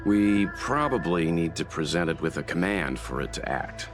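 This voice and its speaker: A calm, reserved fighter in a video game, respectful and formal. His deep voice is measured, with loud music playing behind it. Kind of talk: video game character acting.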